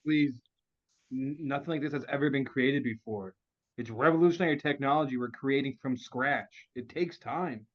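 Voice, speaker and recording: A man's voice: mid-range voice, moderate pace, close to an everyday voice. A man playing an anxious, pleading weapons scientist in a comedy table read. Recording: dull, lo-fi online call.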